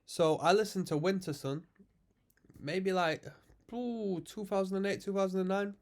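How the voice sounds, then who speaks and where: A man's voice: sits high, steady tone, moderate pace. Congested man reacting to music. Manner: chatty, musing, explains music terms. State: congested from a cold. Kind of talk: casual reaction commentary.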